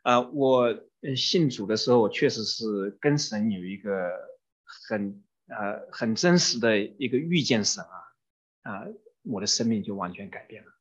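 Male consecutive interpreter for a sermon, husky and mid-pitched, following each phrase, on a muffled dull recording. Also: animated, measured pace. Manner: renders each phrase promptly, with some fillers.

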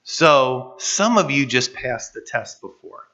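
A man preaching a sermon, playful and animated, his voice a little rough from allergies. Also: slow pace, lo-fi sound. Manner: animated, playful, jumps to high excited pitch. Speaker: pastor. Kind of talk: sermon with live interpretation.

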